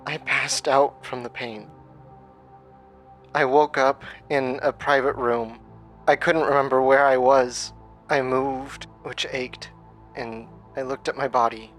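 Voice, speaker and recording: Haunted storyteller, slightly low voice. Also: male voice, little pitch movement, a bit bright, soft background music. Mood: pained, uneasy. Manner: halting, grave, confessional. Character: haunted, traumatised man recounting a childhood nightmare. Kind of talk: dramatic horror monologue.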